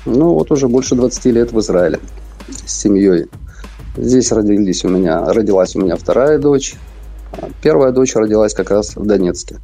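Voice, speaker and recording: A man's voice: fairly low pitch, lively intonation, a little fast, creaky, warm tone, soft background music. A middle-aged man from Ukraine, talking earnestly on a live radio interview by phone, repeating his phrases with hesitant fillers. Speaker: interview guest. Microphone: phone link.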